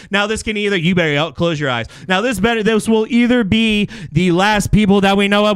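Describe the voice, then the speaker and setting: A man hosting a livestream game show in a studio with casual, slangy, excitable banter. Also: sits high, clean tone, no echo. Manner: animated, slangy, often shouting in excitement. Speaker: show host.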